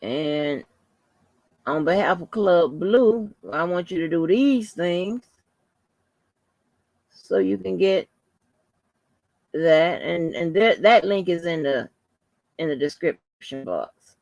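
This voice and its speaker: A woman hosting a casual livestream, rambling informally to her viewers in a soft, calming voice. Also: fairly low pitch, slow pace, creaky, pauses often, dry recording. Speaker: livestream host.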